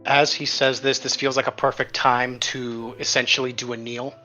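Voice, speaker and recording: Male player, middle pitch, casual tabletop game chat, music clearly behind. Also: little pitch movement, normal speed, sharp tone, muffled recording.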